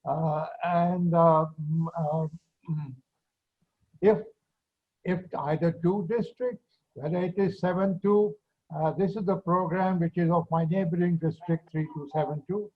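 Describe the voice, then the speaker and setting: A man speaks hesitantly with frequent pauses, his voice set a little higher than most men. The call audio sounds muffled and lo-fi. He comes across as someone thinking aloud in a meeting. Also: steady tone. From Pakistan. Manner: halting, many filler pauses. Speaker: meeting participant. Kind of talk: video call discussion.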